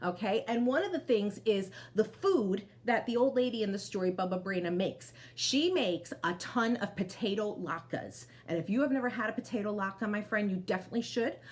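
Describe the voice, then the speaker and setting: A woman storyteller reading aloud to children, expressive, with chatty asides that speak to them directly. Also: mid-range voice, normal speed, few pauses.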